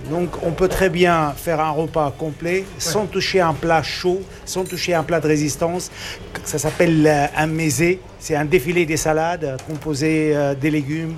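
A man talks up his restaurant's food in a street interview. His voice sits fairly high, and he pauses on fillers as he lists dishes. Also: fairly even intonation, slight background noise. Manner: warm promotional, hesitant fillers. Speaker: restaurant worker.

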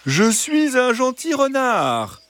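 A smooth-talking fox from a comic storybook, performed by a man. The voice is lifted high and swings up and down. He is soft, coaxing and insistent, feigning innocence with small hesitations. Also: wildly swooping pitch, takes it slowly, full character voice. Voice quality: soft, reassuring.

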